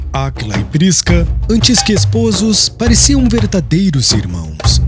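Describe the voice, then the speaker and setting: Male narrator, fairly high, very lively pitch, audiobook reading over clear background music. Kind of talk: scripted narration.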